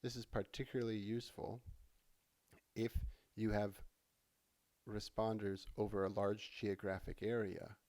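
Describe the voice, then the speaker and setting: A man narrating a software tutorial in a casual, conversational way, explaining things step by step as an instructor. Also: on the low side, level tone, measured pace. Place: voice-over for a screen recording.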